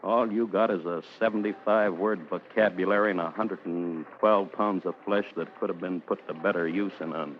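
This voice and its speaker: An actor playing a gruff, bitter, scornful police lieutenant in an old-time radio crime drama. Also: male voice, sits low, fairly even intonation, moderate pace, few pauses, lightly acted. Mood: contemptuous. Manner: blunt, scornful, hard-boiled. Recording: dull, noisy old radio recording.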